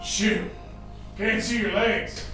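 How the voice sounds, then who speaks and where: Radio play patient, male actor: crusty, folksy, cantankerous drinker, unhurried delivery, heavy echo. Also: high voice, flat delivery. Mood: cheeky, grumbling. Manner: blunt, folksy, cantankerous drawled slang. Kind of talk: radio drama acting. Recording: very echoey room with loud music.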